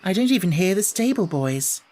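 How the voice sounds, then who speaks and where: Man doing an eager young girl, pitched up. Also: very animated, normal speed, warm tone. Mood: delighted, eager.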